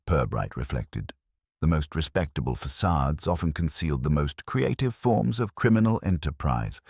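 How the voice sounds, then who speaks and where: A man reading scripted narration of a mystery story in a measured, formal and wry storytelling manner. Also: very deep voice, creaky, darkish tone, breathy edge, no room sound, clean studio sound.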